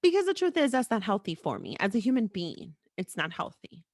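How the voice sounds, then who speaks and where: A woman's voice: medium pitch, sing-song, fairly quick, a little mellow, dry recording, clean studio sound. A woman hosting a podcast in a frank, informal, unscripted way, repeating phrases for emphasis. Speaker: podcast host. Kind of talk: candid, unscripted podcast conversation.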